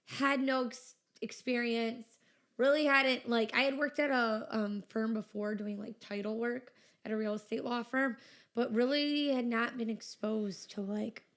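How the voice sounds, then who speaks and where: A woman's voice: a little high, fairly even intonation, unhurried, a bit bright. A woman in her twenties, a business owner, chatting casually as a podcast guest and filling her talk with "like" and "you know". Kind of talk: casual interview chat.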